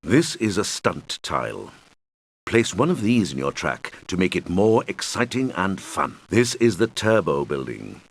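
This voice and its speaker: A man's voice: sits low, very animated, measured pace, exaggerated voice. A man voicing a helpful video game guide who patiently explains things to young players in scripted instructional lines. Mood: patient, instructive. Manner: patient, clearly explanatory, addressing children. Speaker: game tutorial guide character.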